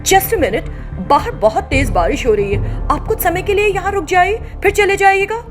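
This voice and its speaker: A woman's voice: very high-pitched, expressive, moderate pace, soft background music, voice pushed up. A woman voicing a sinister female demon who poses as a hospital doctor, falsely soothing and taunting. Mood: falsely helpful.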